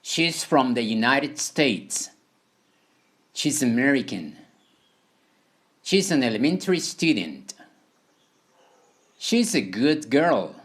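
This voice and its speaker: A man reading a scripted beginner language lesson as a teacher, deliberately delivering short example sentences phrase by phrase. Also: medium pitch, very animated, a bit bright, breathy edge. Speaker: English teacher.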